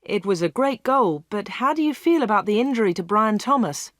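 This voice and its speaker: A woman's voice: mid-range voice, animated, a little fast, no echo, studio quality, lightly acted. A woman voicing a television sports interviewer asking questions, in a storybook reading. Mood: probing.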